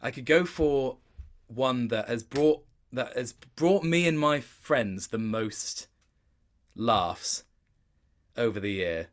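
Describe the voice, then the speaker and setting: A man in his late twenties speaks as a guest in a relaxed interview. His delivery is conversational and rambling. He often doubles back to rephrase as he tells a story. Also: medium pitch, slow. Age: late 20s. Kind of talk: casual gaming interview chat.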